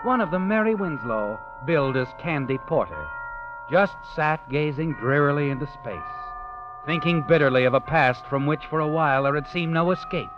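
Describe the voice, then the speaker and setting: A man's voice: fairly high pitch, animated, normal speed, close to an everyday voice. Male actor as sinister narrator, theatrical, insinuating, 1940s radio suspense, muffled sound, music underneath. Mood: brooding. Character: ominous, knowing male storyteller who walks by night.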